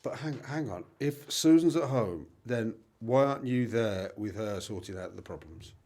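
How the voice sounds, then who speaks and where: A man's voice: on the low side, animated, moderate pace, warm tone. A young British man playing a sensible, concerned friend who keeps questioning insistently, in an amateur radio play. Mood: incredulous.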